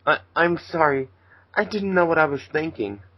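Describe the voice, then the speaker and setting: A man's voice: a little high, expressive, normal speed, lo-fi sound, pitched above the performer's own voice. A man voicing a shy, earnest, lovestruck teenage anime boy in an amateur fan fiction reading. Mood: flustered, earnest.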